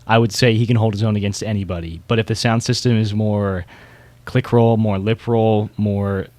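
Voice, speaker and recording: Male podcast co-host, low register, close dry sound, relaxed hobbyist discussion. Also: moderate pace, no room sound.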